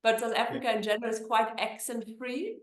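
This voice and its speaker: A woman's voice: fairly high pitch, fairly even intonation, a little fast, reverberant. A woman from Germany with a German accent, an immigration attorney answering interview questions in a chatty way with many fillers and restarts.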